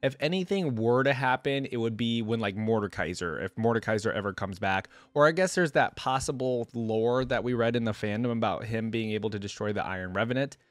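A young man talks casually as a reaction video host, in an average-pitched voice. His delivery is informal and rambles along. He is close to a desk microphone, with very little room sound. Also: expressive, fairly quick, few pauses, no echo. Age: young adult. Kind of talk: casual chat to camera. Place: small indoor gaming setup. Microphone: close desk microphone on arm.

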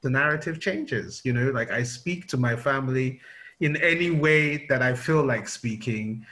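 A man's voice: mid-range voice, moderate pace, pushed and bright. A man in his fifties with a London accent, interviewing over a video call with many fillers and restarts. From United Kingdom. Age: 50s. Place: indoor room at home. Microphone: video call webcam.

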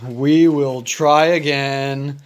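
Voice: medium pitch, fairly even intonation, drawn-out words, voice pushed down. Someone playing a big, earnest, clumsy elephant. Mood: dutiful, puzzled. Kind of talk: children's picture book read-aloud.

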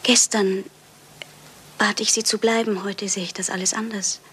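An actress playing a distressed, guarded married lady in a German crime radio play, with a husky, breathy voice. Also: mid-range voice, little pitch movement, moderate pace, fairly bright tone, slight background noise, close to an everyday voice, rough recording. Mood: strained, guarded.

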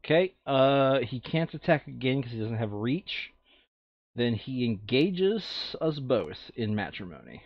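A man's voice: mid-range voice, very animated, measured pace, dry recording. A man chatting casually and jokingly as he plays a tabletop game with a friend. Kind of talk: casual gameplay chat. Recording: slightly dull, dry close recording.

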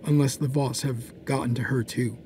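A man playing a fierce, sardonic dark-side warrior in a sci-fi audio drama. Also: medium pitch, steady tone, normal speed, slight background noise, lightly acted. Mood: wry, firm.

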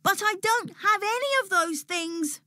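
A man's voice: very high-pitched, a little slow, bright, forceful tone, dry recording, studio quality, voice pushed up, exaggerated voice. A man voicing a timid little boy in a storybook, speaking hesitantly and anxiously. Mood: worried. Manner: hesitant, stammering.

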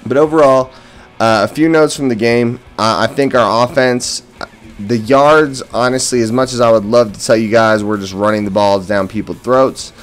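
An American man giving casual post-game football commentary as a fan and host, opinionated and emphatic on key words. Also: lowish voice. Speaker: sports podcast host and fan. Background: background music.

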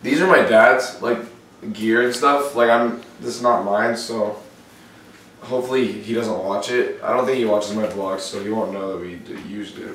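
A young man vlogging in a casual, rambling way, with lots of restarts and a lot of excitement. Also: fairly low pitch, moderate pace, roomy sound, recorded from a distance, slight background noise, low-quality recording. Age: young adult. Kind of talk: casual vlog chat. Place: house indoors, then snowy yard outdoors.

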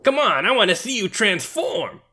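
A man's voice: high voice, big pitch swings, moderate pace. A man playing a gleeful, cocky, taunting villain with a teasing drawl in a fan-made manga drama. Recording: very loud noise behind voice.

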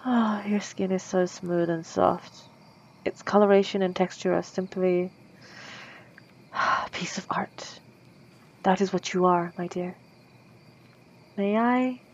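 A woman voicing a refined, possessive female vampire centuries old, speaking in a formal, old-fashioned way in a soft, intimate ASMR roleplay. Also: lowish voice, steady tone, slow pace, pauses often, dull audio, a little hiss or hum. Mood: sultry, possessive.

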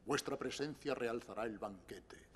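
A man's voice: mid-range voice, unhurried, a little hiss or hum, lightly acted. A man voicing a vain, scheming prince who speaks smugly and commands. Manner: smug, commanding. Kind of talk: cartoon dub acting.

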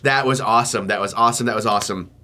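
A young man with a stuffy head cold and a fading voice, gushing excitedly in a casual reaction video from a small home studio. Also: fairly low pitch, racing. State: stuffy, losing his voice from a cold. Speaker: trailer reaction vlogger.

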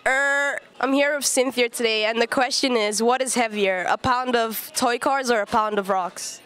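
Teen girl, slightly high and clear, upbeat reporter quizzing classmates. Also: fairly even intonation, normal speed, a bit bright. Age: teens. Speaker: student reporter. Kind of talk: asking a quiz question. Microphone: handheld mic.

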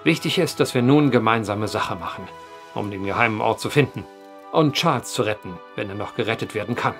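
An actor playing an earnest, determined gentleman writer in a German audio drama, speaking with precise, old-fashioned eloquence. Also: fairly low pitch, fairly quick, faint music behind. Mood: resolute.

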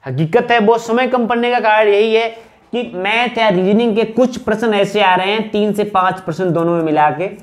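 A man in his thirties from northern India, an exam coaching teacher explaining things instructively and emphatically, straight to his students. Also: high voice. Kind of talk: explanatory exam-prep lesson at a whiteboard. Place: small indoor room with whiteboard.

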